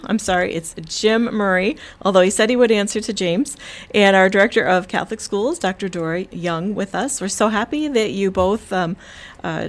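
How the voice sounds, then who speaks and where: A woman hosting a podcast in an upbeat, welcoming way, with a few slips. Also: mid-range voice. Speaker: podcast host.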